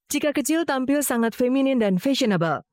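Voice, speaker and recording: Woman narrating a celeb profile, kinda high voice, super dry studio sound, measured and formal. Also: lively intonation, darkish tone, no echo. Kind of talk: scripted voice-over narration.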